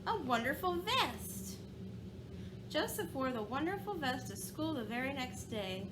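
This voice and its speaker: A woman teacher reading a storybook aloud in a sing-song way, pausing to ask children questions. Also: sits high, big pitch swings, background noise.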